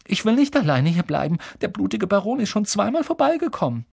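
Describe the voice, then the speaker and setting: A man's voice: fairly high pitch, normal speed, warm tone, no room sound, studio quality, voice pushed up, natural voice. A man voicing a timid, anxious young schoolboy in a storybook reading, plaintive and pleading. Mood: pleading, frightened.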